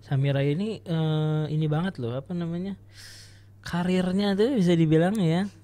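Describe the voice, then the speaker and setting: A podcast host speaks at a middling pitch in a casual interview style. The delivery is informal and encouraging, often echoing the other person's words. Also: moderate pace, mellow.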